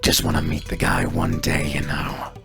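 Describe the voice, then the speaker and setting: A man voicing a gruff, sly cyborg gang boss with a gravelly growl, slow and falsely polite in a tabletop game. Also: music in the background, natural voice. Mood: slyly probing.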